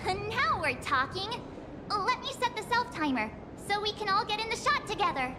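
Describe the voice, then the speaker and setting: A woman playing a bubbly, excitable, curious teenage girl in an anime-style video game, exclaiming and teasing. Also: very high-pitched, normal speed, noisy background. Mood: playful, thrilled. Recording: music behind the voice.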